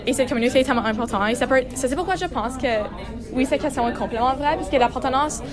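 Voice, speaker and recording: A woman's voice: sits high, fast talker, crowd noise. A teenage girl, a student making a long point in French during a class round-table discussion, filling her speech with "comme". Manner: fluent, peppered with "comme".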